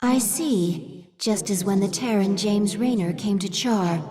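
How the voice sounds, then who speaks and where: An actress playing a calm, dutiful female alien advisor creature in a video game, formal, measured and deferential. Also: mid-range voice, a crowd in the background, close to an everyday voice. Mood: questioning, respectful. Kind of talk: video game cinematic acting. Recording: game music behind.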